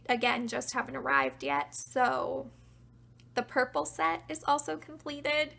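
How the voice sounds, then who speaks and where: A young woman casually talking through her collection, chatty and self-deprecating, her voice getting raspy from talking a while. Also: sits high, bright, forceful tone. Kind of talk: casual show-and-tell narration.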